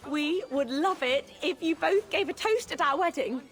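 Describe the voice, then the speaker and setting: Very high-pitched, gushing young bride. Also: female voice, expressive, moderate pace. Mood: delighted. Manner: effusive, eager. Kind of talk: video game cutscene acting.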